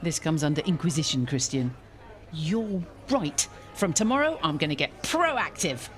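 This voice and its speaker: Wry, animated, exasperated woman vicar. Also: low voice, normal speed. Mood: gleefully determined. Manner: dramatic, sardonic. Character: exasperated, wry female village vicar venting about a rival. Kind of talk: naturalistic radio soap acting.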